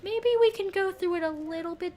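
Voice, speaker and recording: A woman's voice: very high voice, a little fast, mellow. A woman co-hosting a podcast, chatting informally about a book and reacting with short interjections. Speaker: podcast co-host. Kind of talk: casual book discussion chat.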